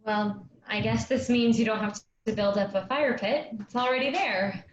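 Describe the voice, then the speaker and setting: Woman as keen young girl in a play reading, highish voice, echoey room. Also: moderate pace, natural voice. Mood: eager, persistent. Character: eager young girl Madge, keen to help and belong. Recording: roomy, noisy, muffled sound.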